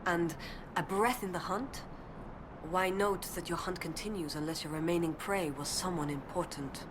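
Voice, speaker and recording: A woman's voice: on the low side, normal speed, background noise, natural voice. An actress playing a young female elven research assistant in a video game, earnest and determined, speaking in a precise, scholarly way. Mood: thoughtful, explanatory. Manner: precise, scholarly, thoughtful delivery.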